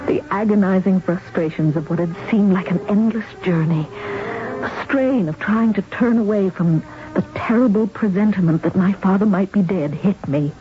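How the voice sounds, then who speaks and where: A woman's voice: medium pitch, moderate pace, soft background music, lightly acted. An actress playing an anxious, determined young woman in a 1970s radio drama, earnest and emotional. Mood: tense narration. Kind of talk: 1970s radio drama acting with first-person narration. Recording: dull, noisy old radio broadcast.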